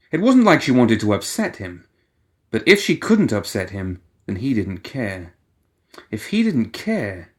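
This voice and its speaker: A man reading scripted fiction as an audiobook narrator, in a dramatic storytelling style. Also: on the low side.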